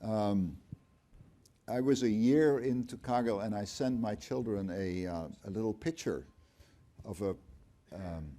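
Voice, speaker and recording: Man, mellow measured storyteller. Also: lowish voice. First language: Dutch. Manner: measured, explanatory, with dry humor and frequent ums. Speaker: company CFO, guest speaker. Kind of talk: onstage conversation. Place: auditorium stage before an audience.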